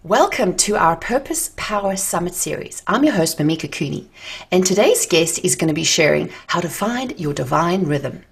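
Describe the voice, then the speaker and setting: Husky low-voiced woman host. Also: animated, moderate pace, faint music behind. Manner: upbeat, faith-centered encouragement. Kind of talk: interviewing a guest.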